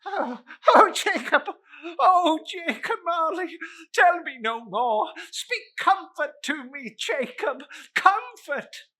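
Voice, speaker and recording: A woman's voice: very high-pitched, expressive, slow, bright, forceful tone, pitched above the performer's own voice, heavily acted. A woman voicing a stubborn, irritable old man who is rattled, stammering and blustering, in a dramatic storybook reading. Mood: pleading.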